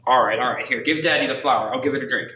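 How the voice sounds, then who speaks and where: A man's voice: mid-range voice, rapid-fire, pushed and bright, lightly acted. A man playing a harried, short-tempered family man in a radio play, agitated and urgent. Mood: gently weary. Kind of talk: audio-only radio play acting. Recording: echoey room, faint noise.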